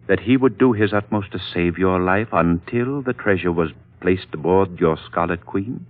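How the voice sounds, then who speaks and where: An actor playing an elderly, dignified Chinese patriarch who speaks formally and solemnly in a vintage radio drama. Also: male voice, low-pitched, full character voice. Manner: formal, stately, measured oratory. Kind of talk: 1940s radio drama acting. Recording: muffled vintage radio recording, music behind.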